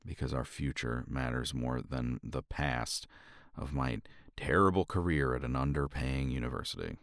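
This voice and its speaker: A man's voice: normal speed, dry recording. A man speaking tenderly and reassuringly to a partner in a romantic ASMR roleplay, close to the mic. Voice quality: very deep and gravelly. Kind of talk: romantic ASMR roleplay, intimate soft talk.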